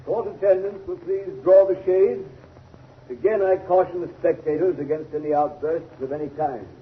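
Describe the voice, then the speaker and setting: An actor playing a stern judge, measured and commanding, in formal 1930s radio style. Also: male voice, high-pitched, fairly even intonation, natural voice. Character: stern, authoritative male judge. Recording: dull, noisy 1930s radio recording.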